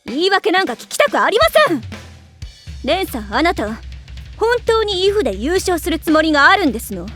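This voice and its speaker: A woman voice-acting a haughty, strict high school young lady in an anime, speaking in prim, pompous, overly polite phrases with a sharp tongue. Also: big pitch swings, brisk, soft background music, exaggerated voice. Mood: furious, scolding. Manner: prim, pompous ojou-sama diction.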